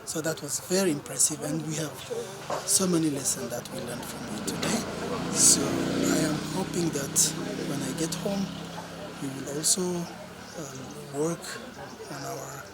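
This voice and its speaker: A man from Ethiopia with an Ethiopian accent giving a halting testimonial as a cooperative representative, often searching for words. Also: a little high, a loud crowd. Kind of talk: impromptu testimonial.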